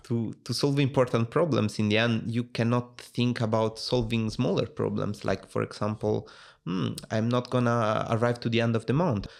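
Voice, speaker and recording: A man's voice: mid-range voice, steady tone, moderate pace, creaky. A man in his twenties from Italy, speaking with an Italian accent as a guest in a casual interview, thoughtful and full of fillers and restarts. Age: 20s.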